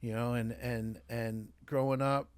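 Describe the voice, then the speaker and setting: A man's voice: mid-range voice, flat delivery, unhurried, smooth, dry recording. A middle-aged man with a New York accent, a podcast guest telling his life story in casual, streetwise talk with lots of restarts. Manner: streetwise, repeats himself, says man a lot.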